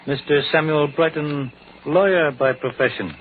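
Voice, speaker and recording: A calm, methodical Chinese detective acted by a man in an old radio play, on a thin, faintly hissy recording. His slightly high voice moves in clipped, measured phrases with articles left out. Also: narrow, tinny audio, lightly acted. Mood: methodical, puzzled. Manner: measured, clipped speech dropping articles and pronouns.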